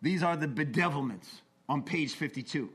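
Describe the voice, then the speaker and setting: Earnest man, forties, slightly high voice, testimony-style talk that swings from intense to quiet. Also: moderate pace, breathy edge. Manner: earnest, confessional, swings between intense and hushed. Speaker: recovery speaker.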